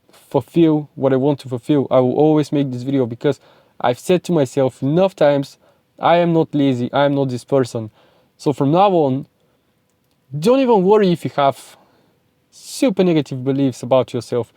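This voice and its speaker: Emphatic Bulgarian-accented man, mellow tone. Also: medium pitch, dry recording, studio quality. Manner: emphatic, repetitive, pushy. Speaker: self-improvement vlogger and speaking coach. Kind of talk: motivational talk to camera.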